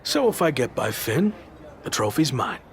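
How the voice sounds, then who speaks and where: An actor playing an earnest, principled underdog wrestler, firm and serious, in a video game cutscene. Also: male voice, medium pitch, big pitch swings, moderate pace, a little hiss or hum. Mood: determined.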